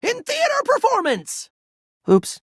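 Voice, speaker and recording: A man's voice: very high-pitched, wildly swooping pitch, slow pace, fairly bright tone, no echo, clean studio sound, full character voice. A man voicing a hyperactive goofball game mascot, campy and theatrical, full of playful silliness. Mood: giddy, showy.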